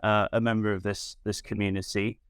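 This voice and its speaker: A man's voice: fairly low pitch, level tone, fairly quick, clean tone, no room sound, studio quality. Stammering man hosting a podcast. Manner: stammering repeats of small words. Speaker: podcast host. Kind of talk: casual interview chat.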